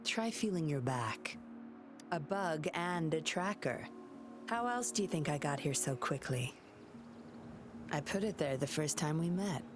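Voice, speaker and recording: A sly, self-assured undercover investigator from a video game. Her low female voice teases coyly while staying composed, with music playing underneath. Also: animated, measured pace, pauses often. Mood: cool, scheming. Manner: coy, composed, teasing. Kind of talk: scripted video game voice acting.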